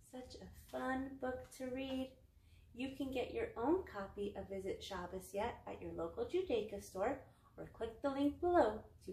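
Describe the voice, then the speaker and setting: A woman's voice: on the high side, darkish tone. A young woman hosting a children's storytime, reading a picture book aloud in simple sing-song phrases. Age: young adult. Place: small living room, seated on a couch. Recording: roomy indoor echo.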